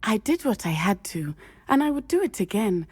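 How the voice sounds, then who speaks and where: A woman's voice: mid-range voice, big pitch swings, moderate pace, a little mellow, slightly rough. An actress playing an earnest, determined young student witch with a precise, formal way of speaking. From Matabeleland. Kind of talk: video game voice acting.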